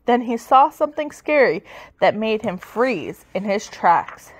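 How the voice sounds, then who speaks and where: A woman reading a children's story aloud with expressive, dramatic, emphatic delivery. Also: a little high, measured pace. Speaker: storybook reader.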